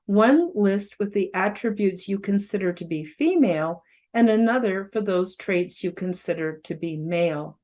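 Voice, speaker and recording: An outspoken woman around seventy speaks in a loud, carrying voice at a middling pitch. She talks casually, with frequent fillers and emphatic rises when she makes a point. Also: expressive, moderate pace, few pauses. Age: about 70. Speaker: host giving a self-help presentation. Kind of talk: casual spoken presentation to camera.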